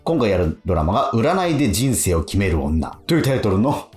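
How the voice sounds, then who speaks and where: Man, low register, animated intonation, casual radio DJ. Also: brisk. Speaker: radio show host, DJ. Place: radio studio. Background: music.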